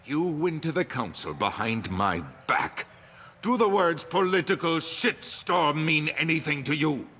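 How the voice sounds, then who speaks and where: A man's voice: fairly high pitch, expressive, unhurried, pushed and bright, exaggerated voice. A man playing a self-important, irritable human politician in a video game, curt and indignant.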